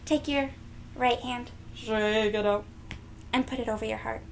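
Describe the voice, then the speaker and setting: A woman reading a storybook aloud to young children, expressive and gentle, speaking directly to them. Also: a little high, a little slow, gravelly, slight background noise. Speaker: children's read-aloud host.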